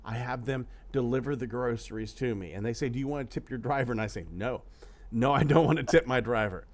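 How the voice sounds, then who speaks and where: A man's voice: medium pitch, a little fast. Middle-aged guy hosting a podcast, grumbly and sweary, reads out chat. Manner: grumbling, profane, animated storyteller. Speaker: podcast host.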